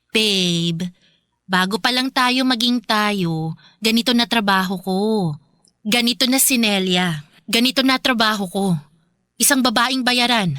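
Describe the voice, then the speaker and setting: A woman's voice: fairly high pitch, slow pace, long pauses, pushed and bright, no echo, studio quality. An actress playing a brash, streetwise young Filipina girlfriend in a radio drama, assertive and exasperated. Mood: confrontational. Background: faint music.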